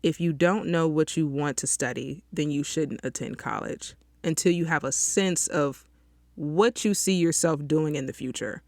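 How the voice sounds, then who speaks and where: A woman's voice: deep voice, normal speed, darkish tone, no room sound, studio quality. Chatty young woman hosting a podcast. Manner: chatty, often asks listeners "okay?". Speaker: podcast host. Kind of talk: casual solo podcast monologue. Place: small closet, outdoor sounds leaking in.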